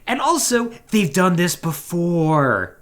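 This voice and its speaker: An animated podcast host talks casually about games. The pitch moves widely around a middle register, and the delivery often tips into sarcastic asides. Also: slow. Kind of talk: casual video game discussion.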